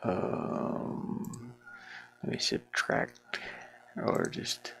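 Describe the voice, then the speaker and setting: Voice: very slow, a bit bright, slight background noise. A game developer talking casually to themselves while they work, muttering with playful asides. The speaker's voice could be a man's or a woman's.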